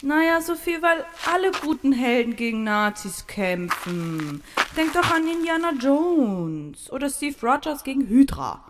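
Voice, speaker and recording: A woman co-hosting a podcast, playful and a bit bossy, with short quick reactions. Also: high voice, sing-song. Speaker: podcast co-host. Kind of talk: casual chat with playful role-play. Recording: reverberant, slight hiss.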